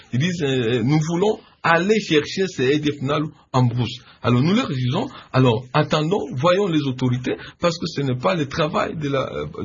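Gravelly husky man on radio. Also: mid-range voice. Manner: hesitant, many fillers, measured. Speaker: civil society leader, interviewed guest. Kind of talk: phone-in radio interview answers. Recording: dull lo-fi radio line.

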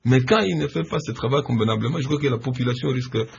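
Casting: civil society leader, husky and gravelly, halting, dull lo-fi line. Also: male voice, medium pitch. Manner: hesitant, many fillers, measured. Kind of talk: phone-in radio interview answers.

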